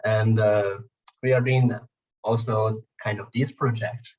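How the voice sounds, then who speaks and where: Coordinator guy, low husky voice, muffled call audio. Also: fairly even intonation, slow. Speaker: organization coordinator.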